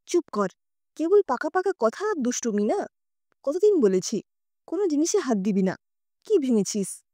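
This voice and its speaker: A woman voicing an anxious, tender-hearted young mother in an audio story, emotional and earnest, quick to scold and to regret. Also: high voice, sing-song, mellow, no echo, studio quality. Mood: scolding, upset.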